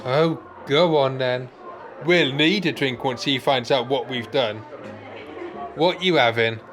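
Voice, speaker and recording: A man's voice: mid-range voice, takes it slowly, over music, a crowd in the background, close to an everyday voice. A man playing a fussy, pedantic, mild-mannered older man in a comic radio play, wordy and precise. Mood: reluctant. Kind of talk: radio play comedy acting.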